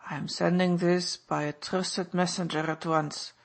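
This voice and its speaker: A woman voicing a man who poses as a baron, speaking slowly and formally. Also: on the low side, flat delivery, vocal fry. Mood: grave. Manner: slow, measured, formal. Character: man posing as a foreign baron, grave.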